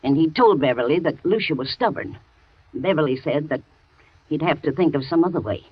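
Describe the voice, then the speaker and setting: An actress playing a loyal housekeeper in a 1940s radio thriller, talking with a nervous, shaky manner on a dull old broadcast. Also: female voice, low voice, animated, a little fast, breathy edge, no room sound. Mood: shaken. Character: frightened loyal housekeeper woman, middle-aged. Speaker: housekeeper and nurse.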